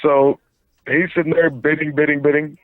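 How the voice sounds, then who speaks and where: A man's voice: a little high, flat delivery, moderate pace. A man phoning in to a casual talk show, telling a rambling story and stumbling over his words. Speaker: phone-in guest. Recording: tinny phone line.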